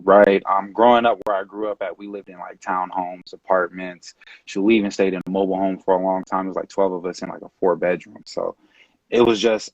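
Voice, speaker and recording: A man in his late twenties with a low voice, chatting loosely and filling his talk with uh and you know. He sounds like he is on a video call. The audio is dry and a little dull. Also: no echo. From California. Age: late 20s. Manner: relaxed, rambling, many fillers. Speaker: guest. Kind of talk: casual online interview.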